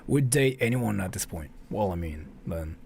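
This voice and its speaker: A man's voice: low-pitched, animated, normal speed, gravelly, a little mellow, a little hiss or hum. A man hosting a livestream in a casual, blunt way, thinking aloud and swearing freely. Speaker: livestream host. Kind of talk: casual livestream reaction chat.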